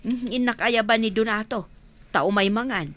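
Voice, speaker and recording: A woman's voice: on the high side, normal speed, lightly acted. A woman playing a worn, nagging mother of a struggling village household in a comedy radio drama. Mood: fretful.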